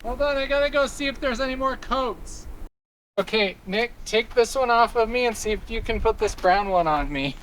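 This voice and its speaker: A man's voice: very high-pitched, normal speed, a bit bright, a little hiss or hum, poor audio. A man in his thirties, a playful host chatting casually behind the scenes of a show. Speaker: host of an online tutorial puppet show. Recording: music playing behind.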